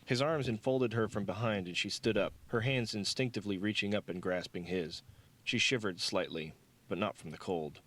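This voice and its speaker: A man's voice: on the low side, moderate pace, fairly bright tone. A man reading scripted fiction as an audiobook narrator.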